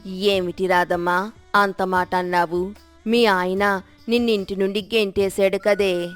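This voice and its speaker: A woman's voice: mid-range voice, flat delivery, unhurried, smooth, faint music behind, lightly acted. A woman playing an older, wheedling village gossip in an acted moral story, with theatrical exclamations. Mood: surprised. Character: sly village gossip older woman, dramatic, later contrite.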